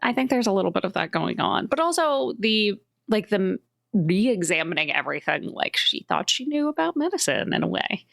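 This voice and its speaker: A woman's voice: mid-range voice, sing-song, normal speed, creaky, fairly bright tone. A woman chatting casually as a cast member on a podcast. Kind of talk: casual chat.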